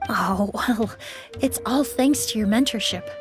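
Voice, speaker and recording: An actress playing a poised, analytical young female perfumer in a video game, calm and polite. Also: medium pitch, unhurried, lightly acted. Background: game background music.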